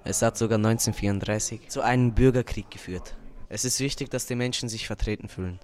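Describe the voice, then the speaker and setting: A man's voice: on the low side, quick pace, a little mellow. A teenage boy reading facts from a script for a school podcast. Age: teens. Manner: reads script lines. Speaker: student podcast speaker.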